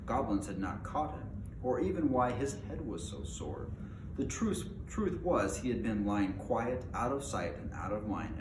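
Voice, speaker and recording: An echoey recording with clear background noise carries a man's voice on the low side. He narrates a storybook aloud in an informal, homemade way. Now and then he trips on a phrase and reads it again. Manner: informal, stumbles and rereads phrases. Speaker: amateur reader reading a book aloud.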